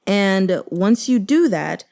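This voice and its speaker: A woman's voice: medium pitch, animated, slow pace, gravelly, a little mellow. A woman chatting casually as the host of a reading channel, conversational and emphatic, speaking her opinions plainly. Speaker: audiobook reader and channel host. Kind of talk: casual reaction chat and announcements.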